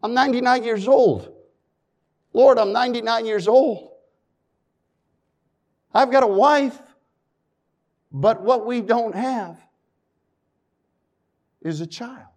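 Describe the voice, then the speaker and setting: A man preaching a sermon, moving between loud emphasis and quiet asides, reading scripture in old-fashioned phrasing and adding folksy remarks to the congregation. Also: very high-pitched, takes it slowly, pauses often.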